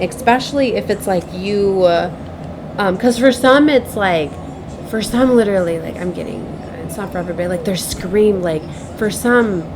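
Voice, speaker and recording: A woman giving an improvised spiritual message as a tarot reader, speaking in a halting, repetitive, earnest and cautioning way. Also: mid-range voice, noisy background. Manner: halting, repeats herself, circles back.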